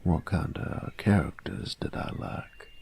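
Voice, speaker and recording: A man playing a cocky young bully who is secretly soft on his boyfriend in an intimate audio roleplay, gravelly and husky, grudging and teasing in a cool-guy way. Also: extremely low pitch, lively intonation, takes it slowly, heavily acted. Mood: sheepish, hesitant.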